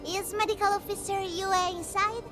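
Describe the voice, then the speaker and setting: Very high, bubbly young woman. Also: steady tone, moderate pace. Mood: cheerful. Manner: bubbly, informal. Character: chatty, curious, cheerful young female crew guide. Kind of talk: animated game voice acting. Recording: music playing behind the voice.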